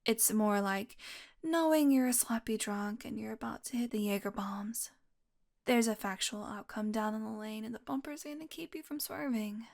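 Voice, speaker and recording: A woman's voice: fairly high pitch, normal speed, a little mellow. A woman playing a wounded, exhausted young vampire in an intimate audio roleplay, rambling wearily with sardonic, pained asides. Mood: drained, wry. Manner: weary, rambling, sardonic, with sighs and breathy asides. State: exhausted, in pain. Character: wounded, exhausted young female vampire, wry and foul-mouthed.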